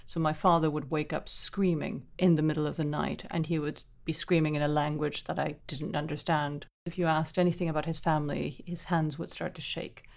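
A woman's voice: sits low, level tone, fairly quick, vocal fry, hardly any pauses, a little mellow. A middle-aged woman from Venezuela, an author telling personal stories in a thoughtful, earnest, descriptive way as an interview guest. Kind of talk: reflective personal storytelling in interview.

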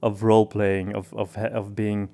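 A man co-hosting a podcast in casual discussion, with halting phrasing that often doubles back on words. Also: on the low side, monotone, gravelly, dark tone, faint music behind. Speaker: podcast co-host.

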